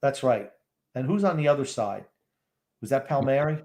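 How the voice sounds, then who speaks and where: A man's voice: medium pitch, animated, normal speed, darkish tone. A man co-hosting a live game watchalong podcast, blunt and complaining. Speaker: podcast co-host.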